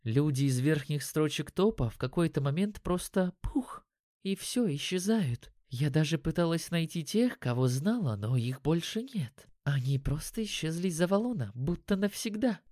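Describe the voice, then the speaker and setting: A man voicing a curious, teasing and gentle young female elf in an audiobook story. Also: low voice, expressive, normal speed, no echo, clean studio sound. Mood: pensive.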